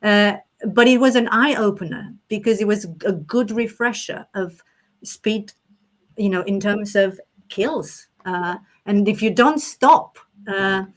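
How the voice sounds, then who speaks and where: A woman's voice: medium pitch, takes it slowly. Reflective woman from Romania. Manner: thoughtful, with frequent restarts and rephrasing. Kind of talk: reflective conversational chat.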